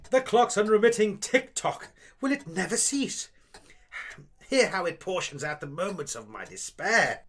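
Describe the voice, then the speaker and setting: A man's voice: high-pitched, lively intonation, a little slow, a bit bright, heavily acted. Man playing a melodramatic little alien thespian, wildly swooping. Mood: anguished, melodramatic. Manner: theatrical, florid, plummy declaiming. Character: small shrew-like alien actor, melodramatic, fussy, easily bored. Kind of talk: scripted audio drama acting.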